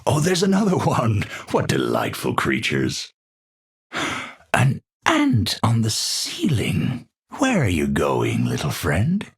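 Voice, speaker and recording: An actor playing an eccentric, unstable scientist in a video game, with a Dutch accent, husky voice and theatrical, formal scientific phrasing. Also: male voice, on the low side, wildly swooping pitch, slow pace, full character voice. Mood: curious, musing. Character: eccentric, unstable male scientist, lofty and absent-minded. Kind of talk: video game character voice lines.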